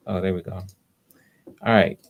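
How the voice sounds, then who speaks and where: A man's voice: low-pitched, steady tone, slow pace. A man hosting live auditions casually, coaching in an encouraging way and often repeating his words. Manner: encouraging, hesitant repetitions. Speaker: audition host.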